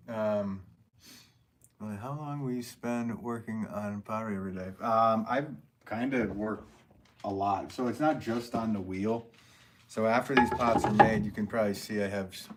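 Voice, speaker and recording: A man potter hosting a casual livestream from his studio, rambling through viewer comments with lots of ums and easy laughs. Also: fairly low pitch. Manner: rambling, chatty, laughs often, many ums.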